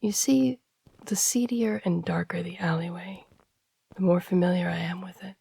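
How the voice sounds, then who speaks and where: A woman playing a devoted, courteous masked assassin and royal guard in an audio roleplay, speaking in hushed, breathy, formal tones. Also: on the low side, steady tone, moderate pace, full character voice. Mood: guarded, tender. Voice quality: breathy, husky. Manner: formal, deferential, hushed speech. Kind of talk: intimate audio roleplay acting.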